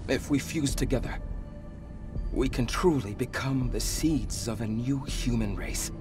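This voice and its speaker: An actor playing a solemn, remorseful elder leader of monsters in a video game cutscene, speaking in a measured, earnest way. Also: male voice, medium pitch, music in the background, natural voice. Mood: solemn, persuasive.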